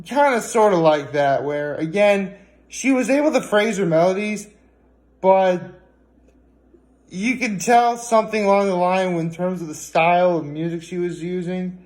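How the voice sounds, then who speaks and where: A man with a slightly high voice talks casually, as a vlogger would to viewers. He rambles in a halting way, often stopping to correct or qualify what he has just said. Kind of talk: casual solo vlog chat. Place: indoors at home, on a couch.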